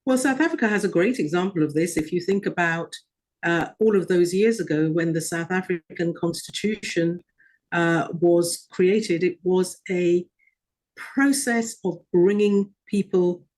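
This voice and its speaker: Hesitant, measured woman guest. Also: on the low side, a little mellow. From Guyana. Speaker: interview guest. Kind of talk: broadcast interview answers.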